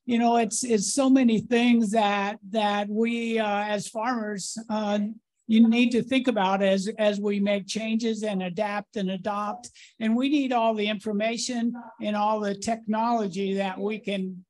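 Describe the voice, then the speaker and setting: A man moderating a conference panel, speaking with many halting restarts and repeated words. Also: high voice, darkish tone.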